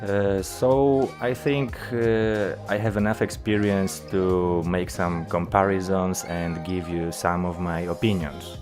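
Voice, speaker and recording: Slow, deep-voiced Polish man. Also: steady tone, music in the background. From Poland. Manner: hesitant, many filler sounds. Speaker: gaming YouTuber. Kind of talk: game update commentary.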